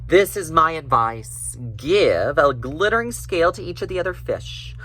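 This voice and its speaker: Man acting as wise female octopus, mysterious, solemn, expressive intonation, storybook oracle. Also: fairly high pitch, voice pushed up, heavily acted. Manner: slow, solemn, oracular.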